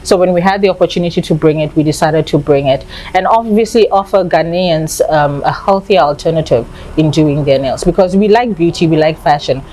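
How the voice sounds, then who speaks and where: A woman in her thirties, raised in South Africa, answering interview questions with candid, animated storytelling. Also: low-pitched, a little fast, few pauses, slight background noise, poor audio. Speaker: nail salon owner.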